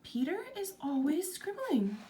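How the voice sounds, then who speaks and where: A woman's voice: high voice, very animated, unhurried, dark tone, a little hiss or hum, pitched above the performer's own voice. A woman voicing an anxious, fussing mother in a storybook, fretting over her son. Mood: complaining. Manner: fretful, peppering with questions. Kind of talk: storybook character voice.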